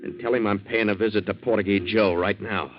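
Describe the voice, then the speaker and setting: A man's voice: lowish voice, steady tone, fairly quick, close to an everyday voice. An actor playing a blunt, slangy, hot-headed young waterfront man with a decent heart in a 1940s radio drama. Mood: disbelieving. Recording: dull 1940s radio recording.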